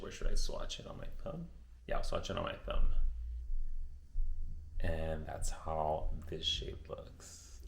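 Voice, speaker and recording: Low, gravelly-voiced man chatting about makeup. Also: roomy sound, slight background noise. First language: Spanish. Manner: chatty, rambling, self-correcting. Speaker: beauty vlogger. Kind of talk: casual makeup tutorial chat.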